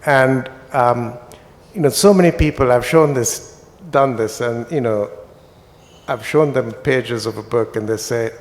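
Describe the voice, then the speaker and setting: A man's voice: mid-range voice, unhurried. A male author speaking on a festival panel, reflective and building to emphatic points. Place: literary festival stage. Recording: reverberant festival hall on PA.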